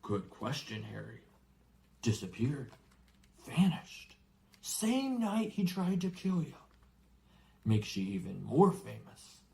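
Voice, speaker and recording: A gruff but big-hearted giant, acted out by a male narrator, growling and fiercely loyal. He talks in rough rustic dialect, as in a storybook reading. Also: medium pitch, very animated, slow pace, pauses often, darkish tone, full character voice. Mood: grave, confiding. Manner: rustic, colloquial, dropped words and dialect grammar.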